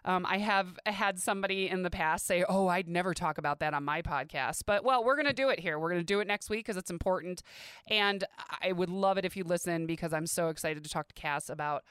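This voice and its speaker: A woman's voice: lowish voice, brisk, a bit bright, clear, resonant tone, no room sound, clean studio sound. A woman from the American Midwest hosting a podcast, chatty, upbeat and encouraging. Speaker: podcast host. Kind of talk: casual podcast chat.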